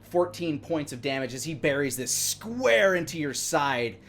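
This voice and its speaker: A man in his thirties narrating a tabletop game as game master, descriptive, with hesitant pauses. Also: a little high, lively intonation, a bit bright, slight background noise. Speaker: game master and stream host. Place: indoor room at home, live stream. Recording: music playing behind the voice. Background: background music.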